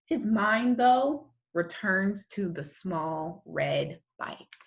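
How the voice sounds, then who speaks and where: A woman reading a children's story aloud as a storyteller, with expressive, deliberate phrasing and dramatic emphasis. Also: medium pitch, gravelly.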